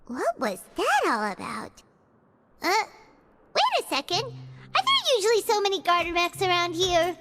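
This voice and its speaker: A woman voicing a tiny, excitable floating companion girl who talks about herself in the third person, in video game dialogue. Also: very high-pitched, measured pace, noisy background, exaggerated voice. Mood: curious.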